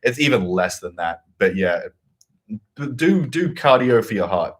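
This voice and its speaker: A man with a deep voice, co-hosting a live stream and reading out comments in blunt, opinionated casual chat. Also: sing-song, a little slow. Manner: blunt, opinionated, swears freely.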